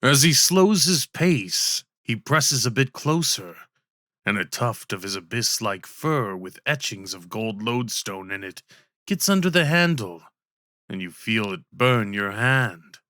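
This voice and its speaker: A man narrates a fantasy tale for an ASMR roleplay. His delivery is very unhurried and deliberate, at a medium pitch. The sound is dry, with no room around it. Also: animated, pushed and bright, no room sound.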